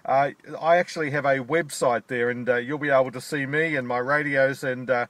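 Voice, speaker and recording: A man's voice: mid-range voice, steady tone, brisk, fairly bright tone. An Australian man making an amateur radio contact from his car in a park, talking in radio procedure with call signs and "over", friendly and delighted.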